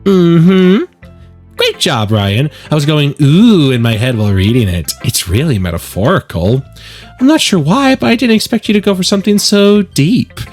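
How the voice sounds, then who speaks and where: A man's voice: a little high, very animated, normal speed, darkish tone, faint music behind, voice pushed up. A man voicing an upbeat, encouraging teenage schoolgirl club president in a visual novel, confident and reassuring.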